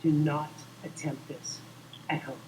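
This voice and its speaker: A man voicing an eager, stubborn sock puppet sidekick who talks haltingly and insistently in improvised comedy. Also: fairly high pitch, flat delivery, darkish tone, close to an everyday voice. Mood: deadpan. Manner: halting, repeats words, insistent. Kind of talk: improvised comic puppet banter. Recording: distant mic, clear background noise.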